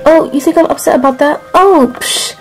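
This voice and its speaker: A woman's voice: sits high, normal speed, soft background music, lightly acted. Woman voicing a stammering nervous teen girl. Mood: flustered. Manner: flustered, stammering, full of "um"s. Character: nervous 18-year-old girl hiding a secret.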